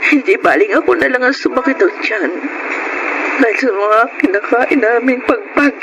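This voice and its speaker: A woman's voice: on the high side, moderate pace, heavy background noise, natural voice. A woman with a Filipino accent playing a poor, self-sacrificing mother in an emotive radio drama. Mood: pained, pleading. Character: poor, burn-scarred mother, tender, self-sacrificing and sorrowful.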